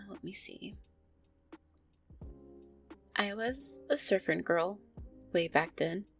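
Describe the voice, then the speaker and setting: A woman playing a gentle, anxious, affectionate vampire girlfriend in an intimate roleplay audio, speaking softly, tenderly and hesitantly. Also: mid-range voice, extremely slow, creaky, faint music behind. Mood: reflective. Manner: soft, tender, hesitant, sighing delivery.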